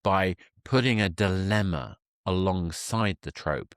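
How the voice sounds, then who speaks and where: Deep-voiced man hosting a podcast. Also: unhurried, dry recording, studio quality. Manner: enthusiastic, affirming. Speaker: podcast host interviewing a guest. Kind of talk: conversational podcast interview.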